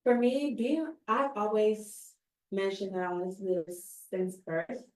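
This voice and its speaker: A woman's voice: mid-range voice, steady tone, unhurried, a little mellow. A young woman in her twenties, a recent college graduate, speaking earnestly and expressively in a casual conversation. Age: 20s. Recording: roomy sound, somewhat distant mic.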